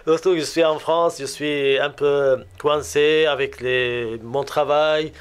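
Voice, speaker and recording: A man from Lebanon with a Lebanese accent, giving a personal religious testimony in a halting way that often circles back on itself. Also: a little high, steady tone.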